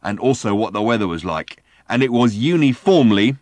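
A man's voice: fairly low pitch, lively intonation, moderate pace, a little mellow, dry recording, lightly acted. A man voicing an aggrieved working-class lorry driver in an audiobook, ranting with emphatic, darkly insistent indignation. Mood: snarling, insistent. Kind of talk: audiobook character voice.

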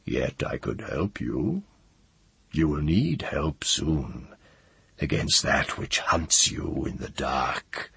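An immense old dragon from a fantasy storybook speaks through a male reader in a rock-bottom, dry voice. The delivery is slow and hushed, thick with breath and husk, with a sly, taunting patience. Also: a bass voice, big pitch swings, vocal fry, breathy edge, exaggerated voice. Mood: tempting, probing. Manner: slow, quiet, deliberate. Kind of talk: audiobook fantasy character voice.

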